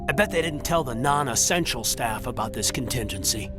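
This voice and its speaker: A man's voice: medium pitch, animated, a little fast, close to an everyday voice. A man playing a wry, skeptical squadmate in a dramatic video game. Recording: music behind the voice.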